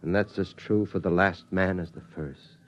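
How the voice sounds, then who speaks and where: Calm, lower-voiced father, reflective and resolute; dull old radio sound. Actor plays him. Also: normal speed, natural voice. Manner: steady, reflective, rising to fervent resolve. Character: resolute father of a surviving family. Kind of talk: 1950s radio drama acting.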